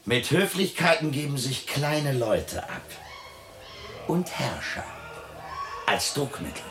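A man's voice: mid-range voice, sing-song, slow. An actor playing a haughty, erratic asylum patient in a German radio drama, pompous and mocking, switching abruptly from courtesy to insult. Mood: disdainful. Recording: music behind the voice.

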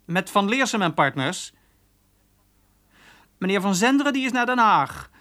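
An eager young office junior in a radio play. His voice is high for a man, and he talks in a cheeky, chatty way, stumbling into small hesitations. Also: very animated, measured pace, pushed and bright, heavily acted. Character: cheeky eager young male office errand boy named Jeroen. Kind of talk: radio drama acting.

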